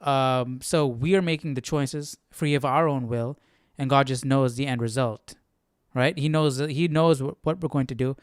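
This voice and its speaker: A man's voice: medium pitch, moderate pace, clear, resonant tone, no room sound, studio quality. A man hosting a casual Bible study livestream, thinking aloud and often checking for agreement. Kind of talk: casual commentary.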